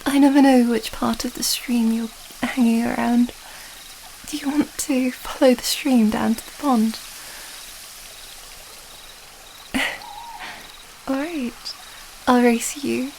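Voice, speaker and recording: Woman acting as young princess in love, very unhurried, affectionate, shyly hesitant, fantasy ASMR roleplay, faint ambient noise. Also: a little high, very animated, vocal fry, darkish tone, heavily acted, rough recording. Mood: playful, affectionate. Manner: tender, giggly, hesitant.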